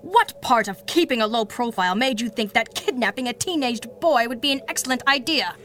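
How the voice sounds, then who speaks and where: A woman's voice: on the high side, expressive, a little fast, fairly bright tone. A woman playing a cutting, knowing female villain in a radio drama, dry and sardonic. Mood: scolding. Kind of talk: 1930s-style radio drama acting.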